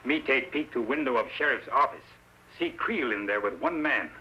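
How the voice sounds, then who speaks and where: Terse, sharp-edged loyal frontier scout. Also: male voice, mid-range voice, animated, normal speed, breathy edge. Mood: reporting. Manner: clipped pidgin English, short phrases. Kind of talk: vintage radio western acting. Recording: hissy, muffled old radio recording.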